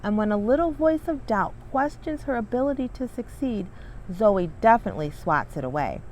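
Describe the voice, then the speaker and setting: A woman's voice: on the high side, expressive, moderate pace, a little mellow, a little hiss or hum, low-quality recording. A woman hosting a children's read-along, speaking in an encouraging, emphatic way as she introduces and reads a picture book. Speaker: read-along host and coach, reading with her daughter.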